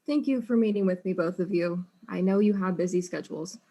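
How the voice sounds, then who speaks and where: A woman's voice: on the low side, brisk, dark tone. A woman playing a blunt, exasperated detective in a comic audio drama, dry, impatient and sarcastic. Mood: stern.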